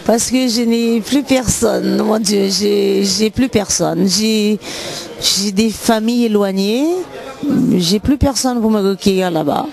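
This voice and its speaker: A woman from Haiti answering questions in a street interview, talking softly and hesitantly with many pauses. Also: medium pitch, vocal fry.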